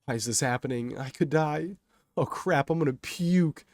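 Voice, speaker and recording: Brief: selfish young cynic, played by a male storyteller, whiny and incredulous, raised pitch, close dry sound. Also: normal speed, no echo, lightly acted. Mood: desperate panic. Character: selfish, cynical, panicky young man thinking to himself.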